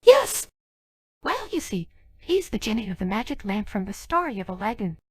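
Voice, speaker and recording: A synthetic woman's voice playing a gentle, apologetic young female genie in a game cutscene dub, breathy and thin, with stilted, earnest delivery. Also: mid-range voice, very animated, moderate pace, no echo, clean studio sound. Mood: hesitant, solemn.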